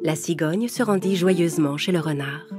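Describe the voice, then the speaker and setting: A woman's voice: fairly low pitch, moderate pace, over loud music. A woman narrating a children's story in a scripted, carefully phrased storytelling style.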